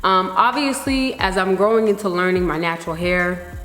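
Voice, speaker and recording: A woman's voice: fairly low pitch, normal speed, fairly bright tone, faint music behind. A middle-aged woman vlogger chatting candidly to camera, a little nasal from a slight cold, rambling and reflective. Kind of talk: casual reflective chat to camera. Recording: echoey room with long reverb.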